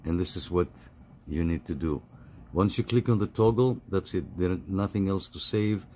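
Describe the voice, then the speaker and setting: A middle-aged man giving a casual, halting, step-by-step phone app tutorial over some background noise. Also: low-pitched, a little mellow. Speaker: tutorial host.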